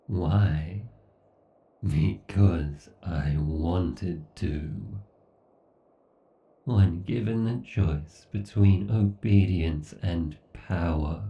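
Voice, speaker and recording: A man playing an obsessed, villainous AI god in a horror roleplay, speaking with slow, brooding menace and deliberate pauses. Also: low-pitched, vocal fry, warm tone, recorded from a distance, dull audio, full character voice. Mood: menacing, grandiose. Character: obsessed, villainous male AI god ruling a scorched apocalypse. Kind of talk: horror ASMR roleplay monologue.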